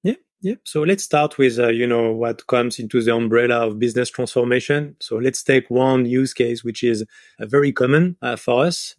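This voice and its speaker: A man's voice: medium pitch, dry recording, clean studio sound. A middle-aged man with a French accent giving explanatory answers as a guest in a conversational interview. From France. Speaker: podcast guest, business software advisor.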